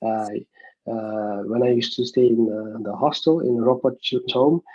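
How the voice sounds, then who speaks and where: A young man from Nepal, talking as an interview guest in a halting way, full of fillers and restarts. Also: mid-range voice, fairly even intonation, darkish tone, lo-fi sound. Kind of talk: casual interview over a video call.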